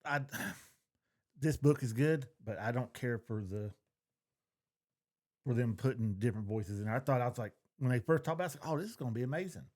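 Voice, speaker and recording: A middle-aged man talks casually as a podcast co-host. His pitch is average, and his manner is folksy, plain and opinionated. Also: lively intonation, fairly quick, darkish tone. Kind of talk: casual chat.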